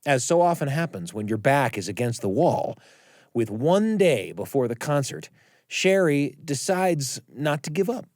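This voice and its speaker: A man narrating a scripted story as a host, using dramatic pauses to build suspense. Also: mid-range voice, very animated, a little mellow, no echo, studio quality.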